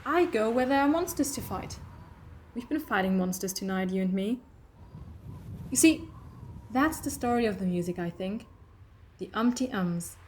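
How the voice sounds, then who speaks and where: A woman's voice: medium pitch, animated, normal speed, pauses often, darkish tone, slight background noise, natural voice. A woman playing a playful, reassuring alien heroine in a fan audio drama, coaxing and teasing as she talks. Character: playful, reassuring time-travelling alien heroine, a voice in a boy's head. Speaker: voice actor. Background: music.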